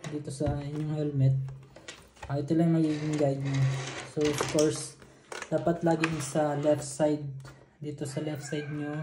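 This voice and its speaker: Male Filipino vlogger for informal how-to: flat, narrow intonation, halting step-by-step delivery, roomy sound with faint noise. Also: a little high. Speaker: vlogger giving a product installation tutorial. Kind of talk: casual hands-on tutorial, mixing Tagalog and English. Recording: roomy echo, noticeable background noise.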